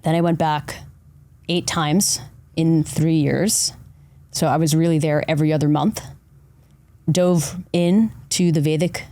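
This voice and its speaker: A woman hosts a podcast and tells her story earnestly, often checking in with "right?" Her voice is very low and warm. The sound is dry and close. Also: a little slow, no room sound. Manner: earnest, animated storytelling with many "right?" tags. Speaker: podcast host. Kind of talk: casual podcast conversation.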